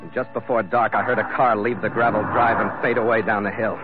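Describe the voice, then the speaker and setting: A man's voice: lowish voice, steady tone, brisk, natural voice. An actor playing a tough, hot-tempered sea captain who narrates his own adventure in a terse, dramatic old-radio style. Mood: tense, restrained. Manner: hard-boiled, terse, dramatic delivery. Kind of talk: 1940s-50s radio adventure drama acting with first-person narration. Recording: dull vintage radio recording, loud music behind.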